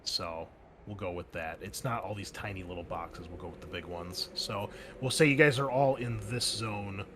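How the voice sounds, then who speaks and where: A man's voice: deep voice, moderate pace, noisy background. A man serving as game master, talking loosely with lots of filler words. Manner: loose and chatty, full of "like" and "um" fillers. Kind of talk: running a tabletop game over a call.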